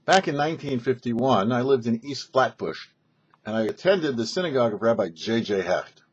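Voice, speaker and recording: An American man narrates a religious story in a mid-pitched, husky voice. He tells it as an anecdote, voicing bits of dialogue as a host would. The recording is a little dull and lo-fi. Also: lively intonation, moderate pace.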